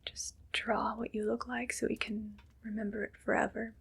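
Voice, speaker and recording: A woman playing a haughty, condescendingly kind evil queen in an ASMR roleplay, speaking in a breathy whisper with slow, deliberate, imperious delivery. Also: on the high side, little pitch movement, a bit bright, exaggerated voice. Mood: condescending, pleased.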